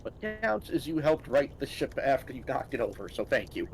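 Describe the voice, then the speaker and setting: A man's voice: medium pitch, animated, brisk, gravelly, darkish tone, slight background noise. Easygoing man playing a co-op game. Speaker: co-player. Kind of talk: casual gaming chat.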